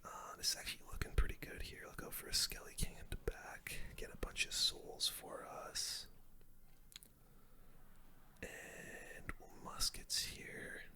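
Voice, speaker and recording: A person whispering casual ASMR gameplay commentary, breathy and unvoiced, full of gamer slang while talking through each move. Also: a little slow, pushed and bright. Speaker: game streamer.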